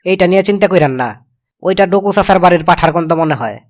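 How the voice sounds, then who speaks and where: A man's voice: high voice, fast, soft background music, close to an everyday voice. A man voicing a brusque, dry village uncle in a comedy cartoon, with a colloquial Bangladeshi accent. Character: gruff, grudging village uncle looking after nephew.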